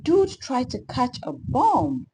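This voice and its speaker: A woman's voice: high-pitched, sing-song, takes it slowly, creaky, warm tone, a little hiss or hum, exaggerated voice. A woman voicing a serious, burly male lobster lifeguard who talks in surfer slang in a storybook.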